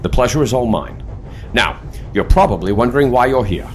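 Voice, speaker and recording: An actor playing a suave, mysterious airship commander, formal and dryly teasing, in an audio drama. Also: male voice, mid-range voice, lively intonation, fairly quick, slight background noise, close to an everyday voice. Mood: suave, courteous.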